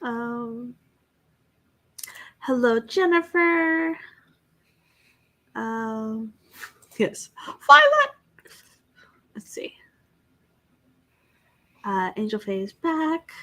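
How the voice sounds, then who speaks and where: Brief: young woman streamer, bright, slow easy delivery, reads chat and greets viewers. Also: fairly high pitch, big pitch swings. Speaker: livestream host. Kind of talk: casual livestream chat.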